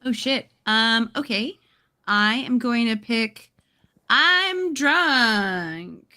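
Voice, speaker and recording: A woman's voice: fairly high pitch, lively intonation, extremely slow, bright, forceful tone. A woman on a podcast panel, chatting casually and teasing.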